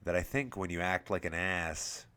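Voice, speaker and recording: A man's voice: sits low, moderate pace, bright, forceful tone, clean tone. A man reading a scripted first-person horror story as the host of a scary story series.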